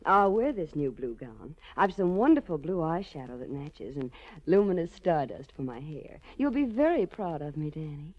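A poised, dangerous lady racketeer in a 1940s radio crime drama. Her low voice swings freely from silky to imperious, with cool seductive assurance, on a muffled vintage broadcast recording. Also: sing-song, moderate pace. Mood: silky, teasing. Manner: poised, haughty, coolly seductive. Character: cool, self-assured female crime boss muscling into a racket.

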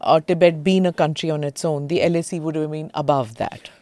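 Host, woman, lowish pitch, prompting questions, dry close mic sound. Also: steady tone, a little fast, darkish tone, no room sound. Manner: prompting, frequent backchannel "hmm". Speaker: podcast host. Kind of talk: interviewer questions.

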